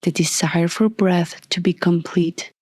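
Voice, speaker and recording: A woman leading a guided meditation as a scripted narration, soft and breathy, calm and soothing, with deliberate long pauses. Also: on the low side, no echo, studio quality.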